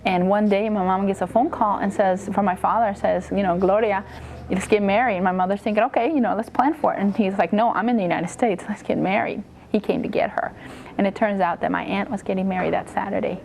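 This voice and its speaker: A woman's voice: medium pitch, fairly even intonation, fairly quick, vocal fry, few pauses, darkish tone, slight background noise, rough recording. A woman from Mexico recounting her family's history in an oral history interview, often correcting herself as she goes.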